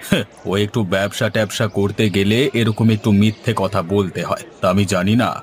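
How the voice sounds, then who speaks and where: A man's voice: lowish voice, flat delivery, brisk, a little hiss or hum, lightly acted. A man voicing a rude, vindictive haggling customer in a cartoon, with a scornful, dismissive way of talking. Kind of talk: Bengali cartoon voice acting.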